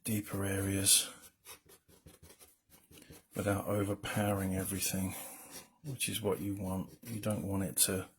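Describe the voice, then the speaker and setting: A man with a low, mumbling voice gives casual, rambling commentary while drawing on a livestream as a comic colorist, with self-deprecating asides. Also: level tone, measured pace, long pauses.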